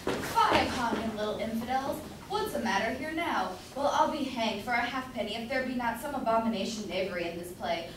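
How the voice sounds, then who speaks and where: A woman's voice: fairly high pitch, lively intonation, a little fast, close to an everyday voice. A woman playing a grumbling, blustering but doting citizen husband in a staged reading of a period comedy. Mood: indignant. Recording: echoey room from far off, faint noise.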